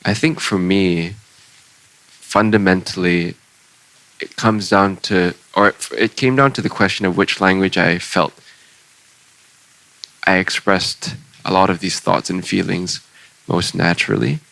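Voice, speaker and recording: A young man, a poet speaking on a festival panel, measured and reflective, into a handheld mic in a large hall. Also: low-pitched, fairly even intonation, vocal fry, fairly bright tone. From Singapore. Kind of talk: panel conversation. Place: large bright public hall indoors. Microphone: handheld microphone.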